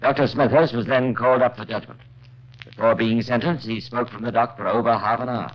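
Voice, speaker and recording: A man narrating a 1950s radio crime drama in a deliberate, suspenseful scripted style. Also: mid-range voice, fairly even intonation. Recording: muffled old radio recording.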